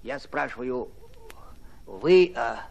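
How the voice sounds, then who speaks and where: An actor playing a doubtful, aggrieved village peasant with a rustic, halting way of talking, in a radio drama. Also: male voice, medium pitch, lively intonation, vocal fry, a little mellow, highs cut off, exaggerated voice. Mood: puzzled, probing.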